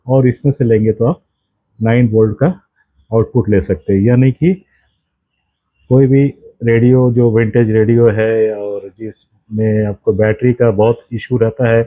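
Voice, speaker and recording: A man with an Indian accent giving an unscripted product demonstration, explaining in a halting way and often repeating or correcting himself. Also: medium pitch, no room sound, muffled recording. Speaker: presenter of a radio hobby channel.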